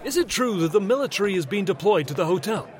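A man's voice: high-pitched, animated, quick pace, a little mellow, faint music behind. An actor playing a pushy male press reporter in an audio drama, firing insistent questions. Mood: insistent, challenging.

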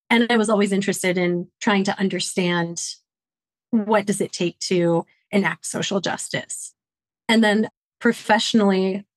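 A woman's voice: medium pitch, no room sound. A middle-aged woman from Detroit, a podcast guest talking in an earnest, reflective way about her upbringing and her work. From Detroit, Michigan, USA. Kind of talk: conversational interview answers.

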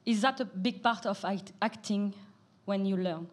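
A woman's voice: mid-range voice, normal speed, slight background noise. A woman in the audience, English not her first language, rephrasing her question as she goes. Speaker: audience member asking a question. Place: audience seating in a hall.

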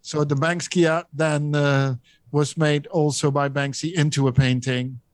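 A man's voice: fairly high pitch, little pitch movement, unhurried, no room sound. A man with a Dutch accent, an auction house specialist explaining an artwork in an interview, hesitating often with many ums and restarts. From Netherlands.

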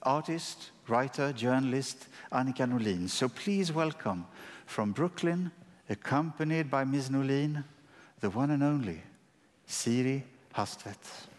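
A man's voice: medium pitch, a little slow. A man formally introducing a guest of honor in a large concert hall, speaking in a grand, rhetorical way. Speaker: event presenter. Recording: long hall reverb.

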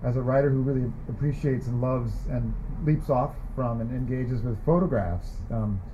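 A man from California introducing a fellow poet in a chatty, halting way, with many fillers and restarts. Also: medium pitch, dark tone, slight background noise. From Sacramento, California, US. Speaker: poet at a reading. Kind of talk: casual introduction and poetry reading. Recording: muffled lo-fi recording.

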